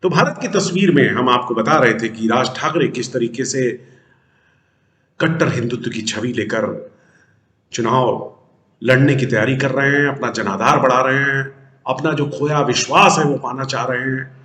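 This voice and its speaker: An echoing, roomy recording carries a man's medium-pitched voice. He speaks as a news host giving political commentary. His delivery is emphatic, built on rhetorical questions that he answers himself. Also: normal speed, sharp tone.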